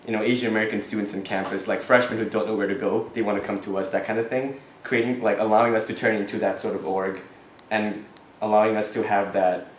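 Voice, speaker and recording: Un jeune homme venu de Thaïlande répond aux questions d'une élection étudiante. Sa voix est assez grave et il parle avec sérieux, en hésitant et en reprenant ses phrases. La salle de classe résonne nettement et un léger bruit de fond se fait entendre. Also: male voice, lowish voice, level tone, slight background noise. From Thailand. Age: young adult. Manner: earnest, halting, many restarts and fillers. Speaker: student board candidate. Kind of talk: answering questions at an election forum. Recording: echoey classroom.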